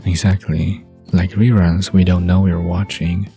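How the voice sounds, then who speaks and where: An easygoing, amused young man, played by a male narrator in a bedtime audio drama. His voice is deep and gravelly, unhurried and intimate, with gentle guitar underneath. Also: flat delivery. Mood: musing. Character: Jimmy, amused, easygoing young man musing about fate. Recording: soft guitar music behind the voice.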